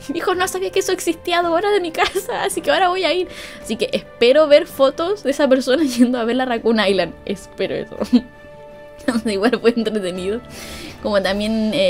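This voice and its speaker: A young woman with a Chilean accent hosting a podcast, telling a story casually, laughing often and acting out what people said. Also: on the high side. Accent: Chilean Spanish. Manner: chatty, laughs often, acts out quoted lines. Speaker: podcast host.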